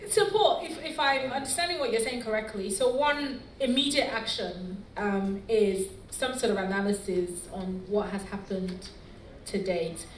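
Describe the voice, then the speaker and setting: A woman's voice: mid-range voice, unhurried, a little hiss or hum. A woman moderating a panel discussion, asking polite questions with frequent "um"s. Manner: polite, with "um" fillers. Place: large conference hall. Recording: echoey conference hall from far off. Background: clear room ambience.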